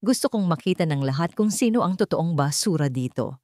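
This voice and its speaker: A woman's voice: fairly low pitch, animated, fairly quick, dark tone, no echo, lightly acted. A commanding company chairman played by a woman narrator in a storybook drama. He is wealthy, stern and speaks with cold authority, recorded dry and close. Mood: cold anger.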